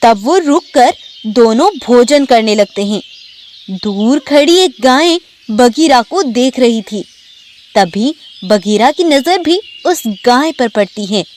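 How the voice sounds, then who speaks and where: A woman's voice: fairly high pitch, expressive, slow pace, darkish tone, a little hiss or hum. A woman narrating a scripted children's animal story.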